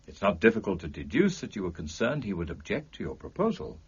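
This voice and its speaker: An actor playing a cool, precise gentleman detective in a period radio drama, measured, formal and dryly teasing. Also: sits low, wildly swooping pitch, husky, highs cut off, full character voice. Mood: coolly analytical. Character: cool, precise gentleman amateur detective, wry and self-assured.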